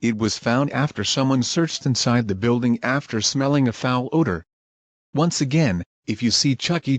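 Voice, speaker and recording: A man acts as a grim emergency broadcast announcer in an amateur audio drama. He reads scripted alerts formally and with measured care, in a mid-pitched voice with a very dry, close sound. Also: no echo, lightly acted. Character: grim emergency alert announcer, dutiful, irritable when crossed.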